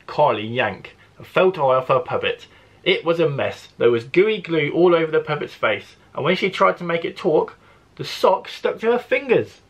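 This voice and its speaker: A man who works as a primary school teacher, reading a story expressively to children with deliberate phrasing. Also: on the high side, animated, husky, highs cut off.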